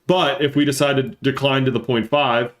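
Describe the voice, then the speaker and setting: A man's voice: mid-range voice, steady tone, moderate pace. A man with a congested, stuffy-nosed voice, explaining things casually as a live-stream market analyst and repeating points for emphasis. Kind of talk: casual live chart commentary and trading lesson.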